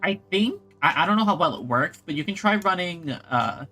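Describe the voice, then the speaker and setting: A man chatting casually as he streams a game, halting as he thinks aloud. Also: fairly high pitch, expressive. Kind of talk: casual chat while playing a game. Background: soft game music.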